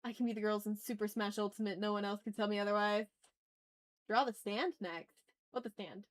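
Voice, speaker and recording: A woman chatting casually as she hosts an art livestream, talking to her viewers in an animated, slangy, teasing way with some swearing. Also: medium pitch, fairly quick. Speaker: livestream host and artist. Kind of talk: casual art livestream chat, reading and answering chat messages.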